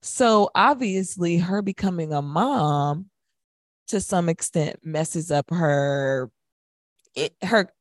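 A woman's voice: on the low side, slow pace, no echo, studio quality. A woman co-hosting a radio show in casual chat, talking in a conversational, opinionated way.

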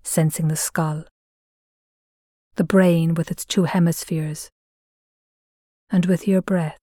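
A woman gently narrating a guided mindfulness meditation, soothing and measured, her soft voice trailing into breathiness with long pauses between phrases. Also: lowish voice, dry recording, studio quality.

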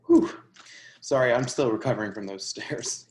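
A woman's voice: extremely low pitch, moderate pace, a little mellow. Woman playing a flustered, breathless chatty newcomer. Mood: gossipy. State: out of breath, acted. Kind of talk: readers theatre play reading.

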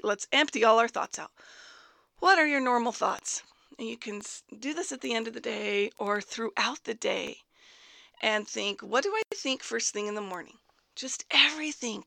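A woman life coach giving a casual motivational talk, slightly hoarse from a cold, upbeat and chatty, laughing often as she speaks. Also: a little high, bright, forceful tone, no room sound. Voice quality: raspy.

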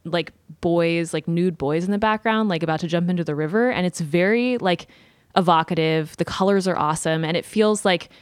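Low-voiced woman chatting casually, dry studio sound. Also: a little fast, clean tone, dry recording. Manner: informal and opinionated, peppers speech with "like". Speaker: podcast co-host. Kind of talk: casual art chat.

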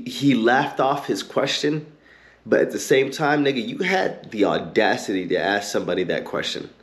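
A man hosting his own podcast, ranting heatedly and cursing a lot, with an occasional stutter and pauses filled with um and like. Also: medium pitch. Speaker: podcast host. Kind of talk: ranting commentary.

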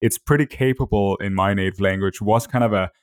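A man whose first language is Danish, hosting a podcast and asking measured questions with frequent word repeats. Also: lowish voice, clear, resonant tone, no echo, clean studio sound. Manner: measured, repeats words while forming questions. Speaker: podcast host. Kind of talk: interview questions.

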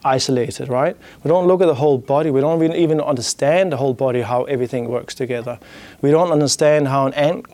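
A man's voice: mid-range voice, quick pace, warm tone, dry recording. A man with a Danish accent giving a business talk, thinking aloud with many restarts and "you know" fillers.

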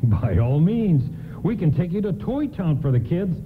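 A welcoming department store doorman in a radio drama. He is kindly and courteous, with expressive pitch. The sound is narrow, with faint hiss. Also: normal speed. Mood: kindly, helpful. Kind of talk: radio play acting. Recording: band-limited radio broadcast, faint noise.

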